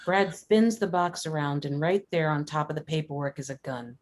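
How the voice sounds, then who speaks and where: A woman's voice: low-pitched, normal speed. A woman narrating a screenplay, reading out its scene headings and stage directions.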